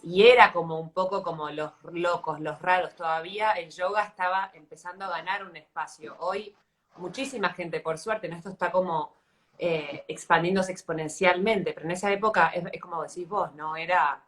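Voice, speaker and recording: A woman's voice: on the low side, normal speed. A woman in her thirties with an Argentine accent, interviewing in a casual, reflective way as she searches for her words. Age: 30s. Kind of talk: casual live interview chat.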